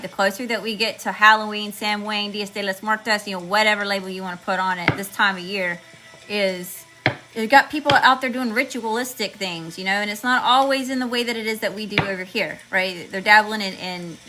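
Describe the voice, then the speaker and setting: A woman reading tarot cards for her viewers in a chatty, slangy way, calling them y'all and listing card meanings one word at a time, with her voice going hoarse. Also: medium pitch, background noise. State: losing her voice. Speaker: tarot reader channeling messages. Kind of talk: casual spiritual reading to viewers.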